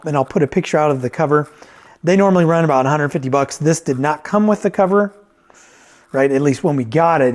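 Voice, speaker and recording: A man's voice: on the high side, a little mellow. A man giving a casual, off-the-cuff product review as a reviewer and repairer, conversational and thinking aloud as he goes.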